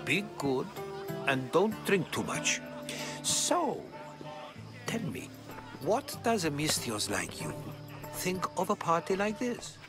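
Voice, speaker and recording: An actor playing a genial, cautious Greek scholar in a video game, polite and gently wry. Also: male voice, fairly high pitch, wildly swooping pitch, takes it slowly, long pauses, over music, full character voice. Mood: gently cautioning. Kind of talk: video game cutscene acting.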